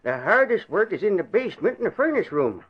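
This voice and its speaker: A man's voice: high voice, very animated, a little fast, slightly rough, heavily acted. An actor playing a simple, meek older man, an asylum inmate who tends dogs, talking in a folksy, deferential way in 1940s radio style. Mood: meek, chatty. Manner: folksy, deferential, chuckling rustic talk. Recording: dull old radio recording.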